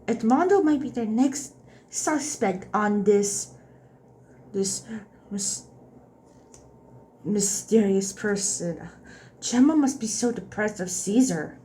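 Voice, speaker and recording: A woman's voice: medium pitch, dark tone. A woman gaming streamer commenting on her playthrough, musing aloud. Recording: game music behind the voice.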